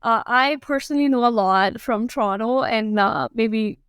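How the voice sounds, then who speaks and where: A woman in her thirties with a Pakistani accent, chatting casually as an interview guest in a halting way, repeating phrases for emphasis. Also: on the high side, a bit bright, clean tone, no room sound, studio quality. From Karachi, Pakistan. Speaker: guest, food and lifestyle blogger.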